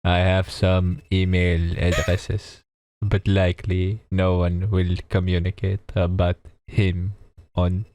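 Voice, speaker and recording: A man voicing a vain, sly male vampire captive in a visual novel, in a dry, unhurried reading. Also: sits low, little pitch movement, a little mellow, no room sound. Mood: scheming.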